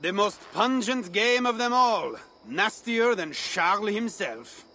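A man's voice: high-pitched, animated, slow, sharp tone, full character voice. An actor playing a wry, flirtatious Frankish festival man in a video game, playful and theatrical. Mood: showy, amused. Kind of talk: video game cutscene acting.

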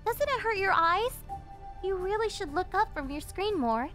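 Casting: obsessive yandere kidnapper girl, audio roleplay. Very high, animated, sugary and possessive, theatrical teasing. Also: normal speed. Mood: possessive, coaxing. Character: obsessive yandere young woman, cheerful cafe server turned kidnapper. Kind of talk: yandere ASMR roleplay acting, anime-style. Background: music.

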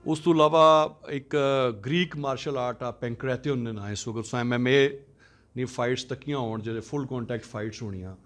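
A middle-aged man, a martial arts academy founder, introducing himself in relaxed, digressive conversation into a handheld mic in a conference room. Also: medium pitch, fairly quick.

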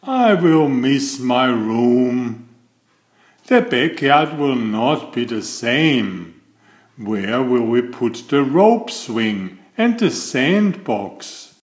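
Older man reading aloud, lively and unhurried. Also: medium pitch, echoey room. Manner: slow, deliberate, expressive phrasing. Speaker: children's book reader and host. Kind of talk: expressive read-aloud storytelling.

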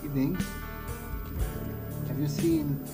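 A man's voice: high-pitched, huge pitch swings, slow. A man thinking aloud in character as he plays a game, musing and hesitant as if talking to himself. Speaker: gamer playing in character. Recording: loud music behind the voice. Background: game music.

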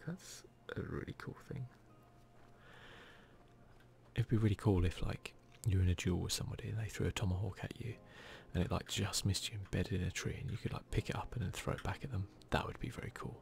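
A man giving hushed ASMR gameplay commentary close to the microphone, often dropping into whispers. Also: fairly low pitch, fairly quick, vocal fry. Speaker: gaming video host.

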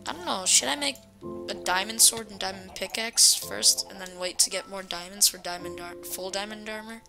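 A woman's voice: medium pitch, moderate pace, creaky, over music. A young woman hosting casual gameplay commentary, talking to viewers in a self-deprecating way. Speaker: gaming video host.

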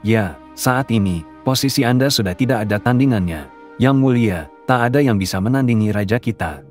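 A man voicing a cautious, fawning royal advisor who flatters and gently warns his king in a dubbed cartoon. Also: medium pitch, a little fast, faint music behind, close to an everyday voice. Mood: deferential. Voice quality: deferential, flattering.

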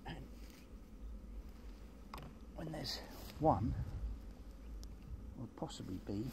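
A man's voice: medium pitch, lively intonation, very slow. The fellow you would meet alone in a long-grass field, muttering a casual commentary into his handheld camera through heavy noise. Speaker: vlogger and forager. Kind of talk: casual outdoor vlog narration. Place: outdoors in a grassy field with trees. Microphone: handheld phone or camera. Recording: very noisy outdoor handheld recording, handling clicks.

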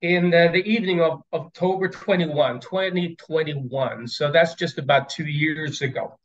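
A man in his fifties from Sweden giving an academic keynote over a video call, pausing often with fillers and repeated words. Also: fairly high pitch. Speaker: plenary speaker. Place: indoors at home, stairs behind. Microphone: video call webcam. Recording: dull lo-fi video call audio.

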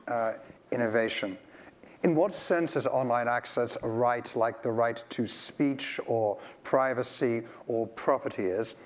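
A middle-aged man moderating a conference panel, asking articulate, measured questions on a lapel mic. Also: medium pitch. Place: large conference stage.

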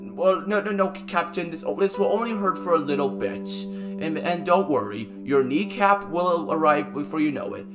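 A man's voice: fairly high pitch, animated, moderate pace, over music, natural voice. Man acting a cartoon robot pirate fox, loyal first-mate type, soothing, reassuring, slightly hesitant. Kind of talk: amateur cartoon skit voice acting.

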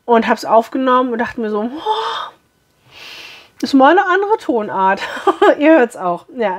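A woman in her thirties with a hoarse voice, chatting cheerfully to viewers as she unboxes a beauty box in a small room. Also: on the high side.

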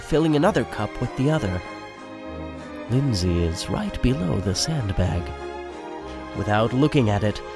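Male narrator, radio play, lively theatrical delivery, music under the voice. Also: medium pitch.

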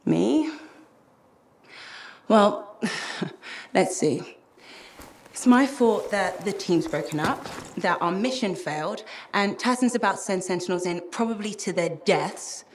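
A woman's voice: medium pitch, animated, takes it slowly, slight background noise. A woman playing a troubled, earnest young mystic friend in a video game cutscene, wry and thoughtful. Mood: pensive. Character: troubled, earnest young female cypher, self-blaming friend. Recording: music behind the voice.

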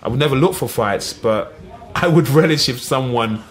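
A middle-aged man with an East London accent, telling lively stories as a podcast guest, full of "you know what I mean". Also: mid-range voice, normal speed. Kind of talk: casual storytelling.

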